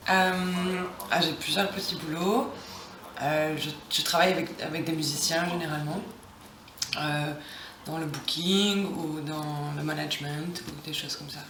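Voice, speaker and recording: A woman's voice: deep voice, fairly even intonation, unhurried, background noise. A French woman in her thirties answering interview questions casually, with many restarts. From France. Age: 30s. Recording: roomy, distant, crowd behind.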